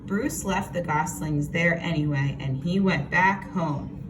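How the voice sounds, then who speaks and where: A woman reads a picture book aloud at an unhurried pace, pausing to explain things and put questions to young listeners like a teacher. The recording is noisy, with the microphone some way off. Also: sits low, distant mic. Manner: expressive, explains words and asks listeners questions. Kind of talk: read-aloud storytelling with asides to children.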